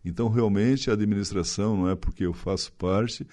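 A man's voice: on the low side, fairly even intonation, brisk, warm tone. A middle-aged man from Santa Catarina with a slight gaúcho accent, speaking at length and earnestly as a city official in an interview, often ending with tag questions. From Santa Catarina, Brazil. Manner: long-winded, earnest, frequent tag questions. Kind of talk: conversational interview.

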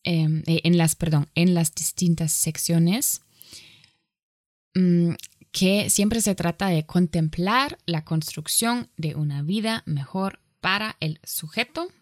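A woman gives a lecture in a language she did not grow up with, speaking slowly and often stopping to correct herself. Her voice sits a little low, and the recording is dry and close. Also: darkish tone, no echo. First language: not Spanish. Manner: halting, self-correcting, with frequent pauses. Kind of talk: online lecture.

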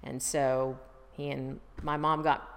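A woman's voice: rumbling low, flat delivery, takes it slowly, clean tone, long reverb tail. A middle-aged woman as an interview guest, chatting easily about her family history with fillers and restarts. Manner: chatty, easygoing storyteller with fillers. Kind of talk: casual life-story interview.

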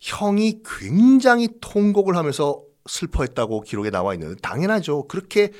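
A man's voice: a little high, very animated, a little fast, a little mellow. A man telling history as a host in a conversational, dramatic and jokey style with emphatic repetition. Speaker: history storyteller and podcast host. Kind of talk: animated conversational history storytelling.

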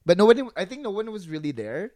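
Giggly, excitable podcast co-host, close dry sound. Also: mid-range voice, fast, no echo. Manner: gossipy, slangy storytelling with quoted dialogue. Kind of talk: casual chat, telling a personal anecdote.

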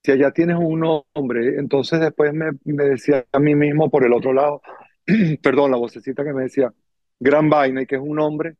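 A middle-aged man with a Venezuelan accent, telling stories as an interview guest in an animated, theatrical way and acting out bits of dialogue. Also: on the high side, moderate pace, no room sound, dull audio. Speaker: guest, circus performer and dancer. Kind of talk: conversational storytelling in an interview.

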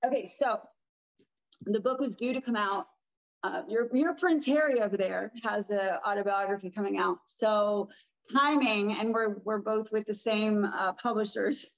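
A woman with a raspy voice, chatting as a guest in an interview, rambling and restarting her sentences. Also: medium pitch, steady tone, normal speed, lo-fi sound. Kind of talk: casual interview talk.

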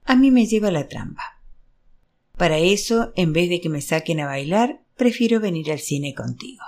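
A narrator voicing a frank, flirtatious divorced aunt in an audiobook novel, brisk, outspoken and teasing. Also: mid-range voice, expressive, natural voice. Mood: indignant, confiding.

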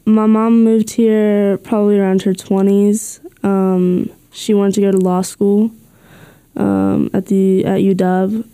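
Teen girl, slow and flat, super dark smooth voice. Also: mid-range voice, soft background music. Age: teens. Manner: hesitant, many pauses. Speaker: student interviewee.

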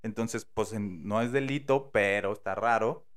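A man with a Mexican accent, casually telling a true crime story on a podcast, slangy and animated, acting out the quotes. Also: on the low side, a little slow, smooth. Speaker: podcast host.

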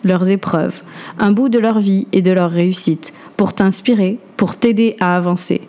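A woman hosting a podcast, reading a calm, measured scripted introduction. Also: medium pitch. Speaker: podcast host.

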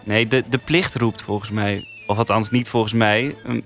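A man's voice: on the low side, steady tone, moderate pace, a bit bright, soft background music. A man in casual review chat as a podcast panelist, on a close studio microphone.

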